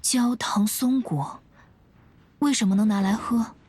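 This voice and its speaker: A woman playing a quiet, aloof, literal-minded young woman in a video game, soft and detached, in sparse phrases. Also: on the high side, unhurried, breathy edge, dry recording. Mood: puzzled, flat. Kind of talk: video game cutscene acting.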